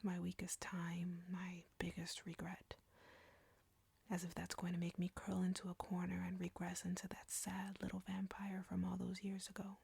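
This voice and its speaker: A woman playing an arrogant, flirty, menacing vampire in an intimate audio roleplay, with a breathy whisper and a taunting, deliberate delivery. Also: fairly low pitch, completely flat delivery, a little mellow, no echo, exaggerated voice.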